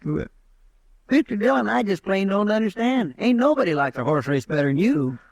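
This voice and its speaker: A man's voice: high voice, lively intonation, fairly quick, gravelly, no room sound. A man playing a folksy, eager deputy in a 1950s radio western, talkative with rustic grammar. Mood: chatty. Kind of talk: 1950s radio drama acting.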